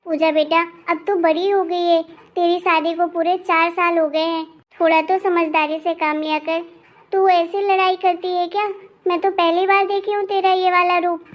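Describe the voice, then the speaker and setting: A woman voicing an older mother-in-law in an animated family drama, firm and maternal as she admonishes. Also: very high voice, normal speed, lo-fi sound. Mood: admonishing.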